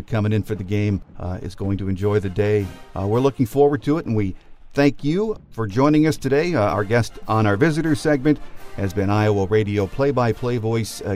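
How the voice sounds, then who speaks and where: Low-voiced American man hosting a sports show. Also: darkish tone. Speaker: sports podcast host. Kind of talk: sports show hosting, partly scripted notes. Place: dry studio.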